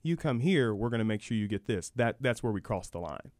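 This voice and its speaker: A man's voice: lowish voice, lively intonation, brisk, darkish tone, no echo, studio quality. A man speaking as an expert guest in a sports talk interview, explaining things and repeating words for emphasis. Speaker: guest, university program director.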